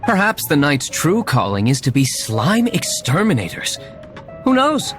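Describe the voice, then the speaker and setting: A man's voice: on the high side, huge pitch swings, unhurried, faint music behind. A man voicing a stern, aloof young tavern owner in a video game, speaking in a curt, dry, formal way. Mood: dry sarcasm.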